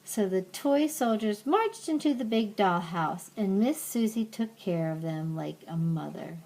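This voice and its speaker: Slow-reading older woman, storybook read-aloud. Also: medium pitch, animated. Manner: gentle, simple asides to a young listener. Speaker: grandmother reading aloud to a child.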